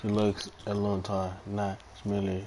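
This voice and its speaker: A man's voice: lowish voice, completely flat delivery, moderate pace, noisy background. A man live streaming a video game, reacting casually in murmurs and reading bits of on-screen text. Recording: clear game ambience behind voice. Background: video game audio.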